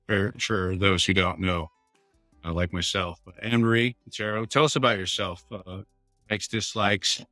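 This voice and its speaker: Bright-voiced low male podcast host. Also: fairly even intonation, no room sound, clean studio sound, soft background music. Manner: apologetic, halting, with fillers. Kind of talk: casual interview hosting.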